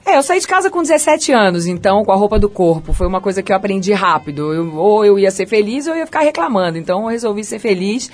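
A middle-aged woman with a Carioca accent, a chef and restaurant owner talking about her life as a guest on a radio interview, as a lively storyteller. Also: quick pace, hardly any pauses. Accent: Carioca Brazilian Portuguese. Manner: lively storyteller, pitch swinging high and low.

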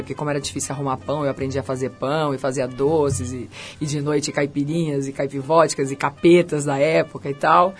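A middle-aged woman with a Carioca accent, a chef and restaurant owner talking about her life as a guest on a radio interview, as a lively storyteller. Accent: Carioca Brazilian Portuguese. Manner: lively storyteller, pitch swinging high and low.

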